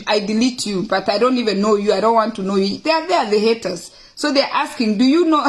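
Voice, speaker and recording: High-pitched Kenyan woman, emphatic talker. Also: low-quality recording. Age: middle-aged. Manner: emphatic, repeats phrases for stress. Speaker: host of a personal livestream. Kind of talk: casual, opinionated talk to viewers, reading out questions.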